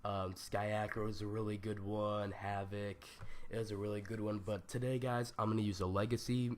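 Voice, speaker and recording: Young male tutorial host, lowish and scratchy from a stuffy nose, loose and rambling, full of slang. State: raspy, stuffed-up voice. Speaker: gaming tutorial youtuber. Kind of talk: casual screen-recorded tutorial.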